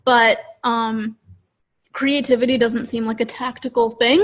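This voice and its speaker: A woman's voice: fairly high pitch, level tone, a little slow, a bit bright. A woman co-hosting a podcast in casual conversation, arguing her points informally and voicing little example quotes. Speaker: podcast co-host.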